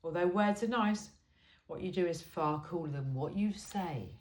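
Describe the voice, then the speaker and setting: A woman's voice: on the low side, moderate pace, a little mellow. A woman reading a humorous self-help book aloud informally, with a wry, conversational, emphatic delivery. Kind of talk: informal book read-aloud with chatty asides. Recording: roomy, echoey sound.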